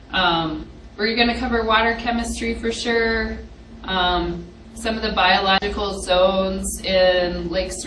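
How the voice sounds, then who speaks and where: A young woman with a quiet voice giving an informal study-session lecture, hesitant with frequent ums. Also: fairly low pitch. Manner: hesitant, many ums, laughs softly. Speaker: presenter on aquatic ecology. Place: classroom with hard walls. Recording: echoey classroom from far off, background hiss.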